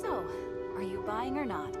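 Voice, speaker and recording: A woman's voice: mid-range voice, sing-song, a little slow, over loud music. A woman playing a wry, brusque bookshop keeper in a video game.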